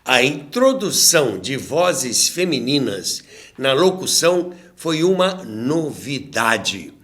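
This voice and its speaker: A man with a Brazilian accent narrating a scripted documentary to his audience, with a measured, dramatic storyteller delivery and deliberate pauses. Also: medium pitch, very animated, fairly bright tone, soft background music. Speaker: host and narrator of a radio history channel.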